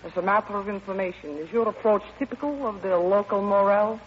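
An actress playing a stern, literal-minded Russian comrade in an old radio comedy, speaking in a clipped, deadpan, formal way. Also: female voice, mid-range voice, fast talker, close to an everyday voice. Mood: cool, matter-of-fact. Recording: dull, noisy vintage radio recording.

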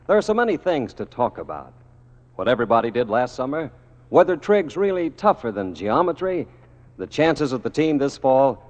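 Lively old-time radio ad man, reverberant. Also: medium pitch. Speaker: radio advertisement announcer. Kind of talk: 1940s-50s radio commercial, scripted narration. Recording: dull old radio recording, long reverb.

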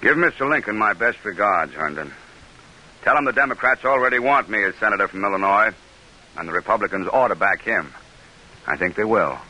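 An American actor playing a proud, shrewd middle-aged senator in an old-time radio drama. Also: male voice, fairly low pitch, lively intonation, a little fast, bright, forceful tone, heavily acted, low-quality recording. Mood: guarded, firm. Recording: vintage radio broadcast recording.

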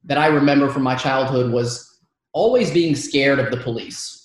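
A man's voice: medium pitch, normal speed. A man in his thirties from Georgia, whose first language is Arabic, telling his story as a podcast guest with a chatty, laughing manner. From Georgia, United States. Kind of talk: casual storytelling interview. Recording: very echoey room.

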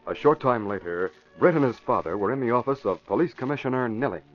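A man's voice: medium pitch, expressive, fast, lightly acted. A man narrating a radio serial in a scripted 1940s style. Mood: storytelling. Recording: dull vintage radio recording. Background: music.

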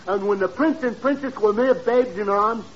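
A man playing an excitable comic servant in 1940s radio comedy style, speaking mock-grand lines that slip into slang. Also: high-pitched, moderate pace, slight background noise, lightly acted. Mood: confessing, flustered. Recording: muffled vintage radio recording with music.

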